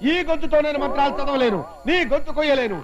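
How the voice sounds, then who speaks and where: An actor playing an exasperated priest who wails and laments melodramatically in a film comedy. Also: male voice, extremely high pitch, fast, dull audio.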